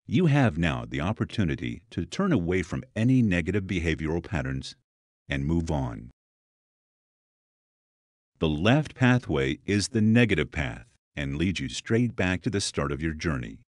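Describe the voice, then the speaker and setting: A man with a deep voice reads a guided meditation. His delivery is deliberate and soothing, with gaps between phrases, yet the melody rises and falls in a lively way. The sound is dry, with very little room around it. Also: dry recording. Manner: slow, deliberate, soothing instruction with pauses. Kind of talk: scripted guided meditation narration.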